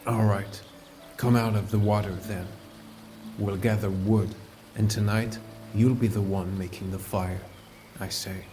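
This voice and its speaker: A man playing a calm, caring fantasy adventurer and mentor, narrating his journey in a measured, earnest first person for an audiobook. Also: on the low side, slight background noise, natural voice. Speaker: narrator and lead character.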